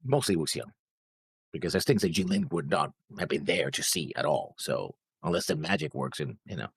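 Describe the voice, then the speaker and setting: A man's voice: extremely low pitch, expressive, fairly quick, vocal fry, breathy edge. A man co-hosting a reaction show, casually discussing and questioning, pushing back on points. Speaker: co-host of an anime reaction show.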